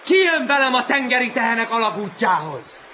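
A man's voice: very high-pitched, sing-song, highs cut off, noisy background. A man playing a proud, defiant young male seal leader in an animal story drama, earnest and challenging. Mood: proud rallying.

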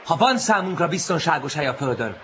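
A man's voice: on the high side, very animated, dull audio, background noise. A man playing a proud, defiant young male seal leader in an animal story drama, earnest and challenging.

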